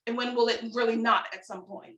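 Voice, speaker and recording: A woman's voice: a little high, steady tone, brisk, fairly bright tone, roomy sound, dull audio. A woman on a committee asking direct questions and listing items one by one. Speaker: committee member. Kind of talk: meeting discussion.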